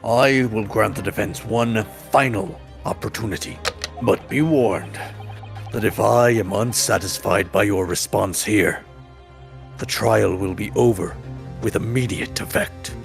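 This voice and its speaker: A man's voice: fairly low pitch, unhurried, creaky. A man voicing a stern, formal courtroom authority in a fan dub of a video game. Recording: loud music behind.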